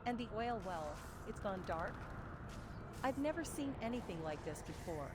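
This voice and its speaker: A woman's voice: a little high, lively intonation, moderate pace, noisy background, close to an everyday voice. A woman voicing a proud, headstrong female warrior in fantasy game quest dialogue, emphatic and defiant. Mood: startled, urgent. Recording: loud game music behind.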